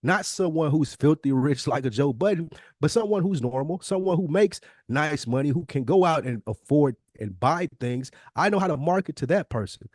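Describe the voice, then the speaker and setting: A man co-hosting a podcast, making a casual, conversational pitch in an emphatic, insistent way, often stacking repeated phrases. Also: expressive, normal speed, hardly any pauses, darkish tone, dry recording, studio quality. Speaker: podcast co-host.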